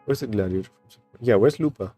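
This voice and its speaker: A man gives casual game playthrough commentary as a streamer. His voice is very low and dark. Game music plays softly behind him. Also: lively intonation, quick pace.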